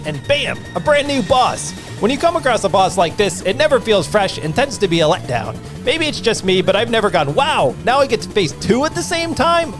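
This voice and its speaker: Male gaming voice-over host, high and animated, scripted but casual, music bed loud behind him. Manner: lively, self-deprecating, casual asides.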